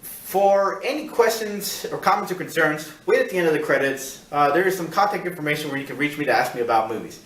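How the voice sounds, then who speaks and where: A man hosting a casual film review, talking in a rambling, halting way and repeating himself as he searches for words. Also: fairly high pitch, lively intonation, fairly bright tone. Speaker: film review show host. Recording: echoey room, distant mic.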